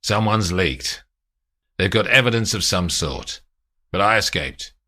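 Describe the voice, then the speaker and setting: A man reading aloud voices a hunted crime boss who speaks in a measured, quietly threatening way. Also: deep voice, animated, heavily acted. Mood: calm menace. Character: hunted male crime boss, calm and menacing.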